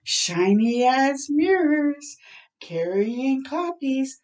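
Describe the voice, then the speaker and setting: A woman's voice: sits high, sing-song, drawn-out words, darkish tone. A middle-aged woman hosting children's storytime, reading a picture book aloud expressively with asides, drawing out words in a home bathroom. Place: small home bathroom, indoors. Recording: slightly distant microphone in a room.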